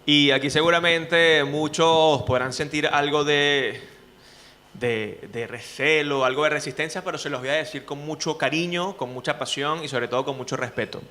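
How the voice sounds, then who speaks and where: A man with a Venezuelan accent, a journalist speaking to students in an animated way, often asking rhetorical questions. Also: on the high side, moderate pace. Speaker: journalist and speaking coach, guest. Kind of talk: lively talk to students.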